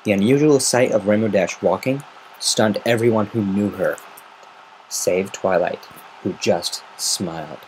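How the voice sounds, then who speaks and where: A man's voice: on the low side, unhurried, darkish tone, slight background noise, low-quality recording. A man narrating a story in a semi-dramatic amateur reading. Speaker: amateur fan fiction reader.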